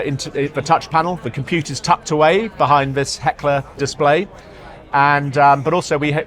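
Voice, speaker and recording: A man speaking as an executive in a product interview, halting, often repeating words and hesitating as he explains. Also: medium pitch, a bit bright. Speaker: marketing executive being interviewed. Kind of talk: product interview at a trade show. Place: trade show floor.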